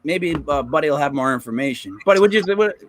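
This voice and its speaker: A man's voice: fairly high pitch, lively intonation, quick pace. Chatty man telling loose stories. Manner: chatty, loose storytelling with lots of fillers. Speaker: podcast co-host. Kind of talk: casual chat.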